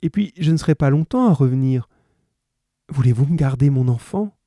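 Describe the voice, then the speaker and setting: Man voicing an anxious young mother, raised pitch. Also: lively intonation, moderate pace, dark tone, no room sound, clean studio sound, close to an everyday voice. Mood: pleading. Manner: hesitant, stammering, earnest.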